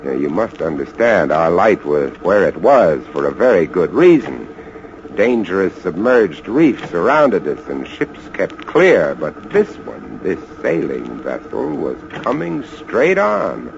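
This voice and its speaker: A man's voice: sits low, measured pace, vocal fry, exaggerated voice. A man acting a frightened lighthouse keeper who narrates a sea horror tale in an intense, suspenseful old radio style. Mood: hushed suspense. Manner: intense, suspenseful, swinging from hushed to excited. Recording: muffled, hissy old radio drama recording.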